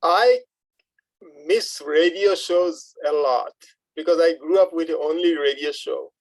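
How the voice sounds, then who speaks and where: Heartfelt guy, kinda high voice, halting and slow, sharing his story. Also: dry recording, poor audio. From outside the United States. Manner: halting, earnest. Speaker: workshop participant. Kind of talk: heartfelt testimonial. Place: online video call.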